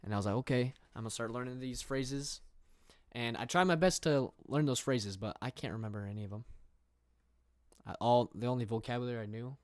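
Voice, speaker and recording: A man's voice: medium pitch, fairly quick, a little mellow, dry recording, clean studio sound. A young man from England chatting casually on a livestream from his room, rambling with fillers and reading viewer questions aloud. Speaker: streamer.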